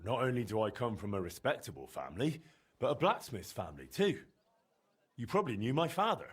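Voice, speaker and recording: An actor playing an earnest, polite young blacksmith's son with a husky voice in a video game. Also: male voice, mid-range voice, very animated, fairly quick, no room sound. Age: young adult. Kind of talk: video game dialogue acting.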